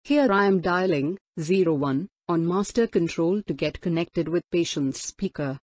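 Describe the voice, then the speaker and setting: A woman's voice: on the low side, moderate pace, darkish tone, no echo. A woman giving a formal, instructional scripted product demo narration. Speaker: product demonstrator.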